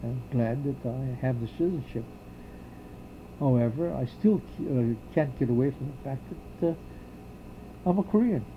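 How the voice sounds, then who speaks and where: An older man from Hawaii answers interview questions, his voice gravelly with a rough edge of fry. He talks in a halting, reflective way, often pausing and starting over. The recording is muffled, with a faint hiss underneath. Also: medium pitch, expressive. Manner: halting, reflective, many pauses and restarts. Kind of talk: oral history interview.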